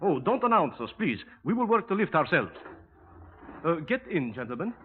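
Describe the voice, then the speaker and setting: Excitable, high-voiced Eastern European schemer. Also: male voice, normal speed. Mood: smooth, polite. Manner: excitable, pleading and insistent. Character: agitated, accusing Eastern European man, accomplice turned betrayer. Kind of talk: 1950s radio drama acting. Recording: noisy, tinny 1950s radio broadcast.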